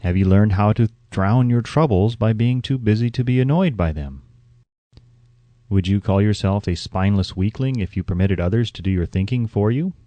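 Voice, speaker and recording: A man reading a self-help audiobook in a measured, instructive way, with carefully phrased scripted narration. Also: lowish voice, mellow, dry recording.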